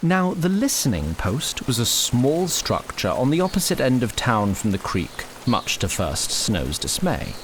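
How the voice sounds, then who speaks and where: A man narrating a scripted fantasy audio story in a measured, literary and slightly wry manner. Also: mid-range voice, a little hiss or hum.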